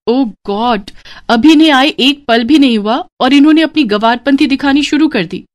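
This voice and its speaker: Woman acting snooty young city woman, high voice, scolding, haughty, English-sprinkled speech, moral-story sister-in-law. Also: moderate pace, lightly acted. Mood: disdainful.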